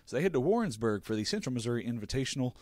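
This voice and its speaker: A young man hosting a college sports show, giving an upbeat, brisk recap of games and interviewing a guest. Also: medium pitch, big pitch swings, a little mellow, dry recording, studio quality. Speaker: podcast host.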